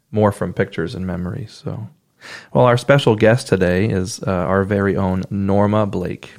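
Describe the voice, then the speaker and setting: A man hosting a church podcast, cheerful and quick to laugh, raised in New England. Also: fairly low pitch, vocal fry. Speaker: podcast host. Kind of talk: casual podcast chat.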